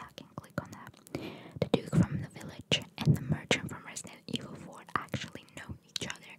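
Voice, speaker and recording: A woman whispering in a breathy voice, reading trivia for ASMR and adding chatty, informal asides. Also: rough recording. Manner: informal, chatty, reacting to what is read. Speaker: ASMR host reading video game trivia.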